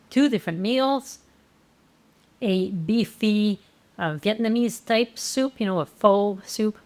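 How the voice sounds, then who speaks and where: A woman walking through meal plans as an instructor, in a casual, conversational manner with frequent fillers and restarts. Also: mid-range voice. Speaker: plant-based meal planning instructor. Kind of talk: casual explanatory walkthrough.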